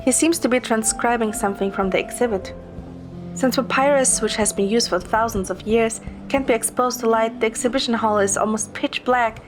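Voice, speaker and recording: Higher-pitched woman narrating a story. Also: moderate pace. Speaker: story narrator, amateur voice performer. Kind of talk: scripted first-person story narration. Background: clear background music.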